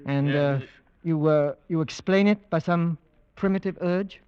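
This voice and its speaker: A male actor as a skeptical investigator with a fairly high voice. He is inquisitive and probing, in an old radio play with muffled sound. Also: a little slow, clear, resonant tone, natural voice. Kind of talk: 1940s radio drama acting. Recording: dull vintage radio recording.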